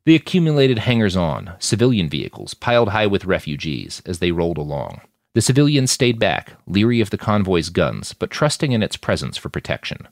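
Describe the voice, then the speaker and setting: A man's voice: low-pitched, creaky, no room sound, clean studio sound. A man reading a fiction story aloud in an audiobook style of narration. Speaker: author narrating own fiction podcast.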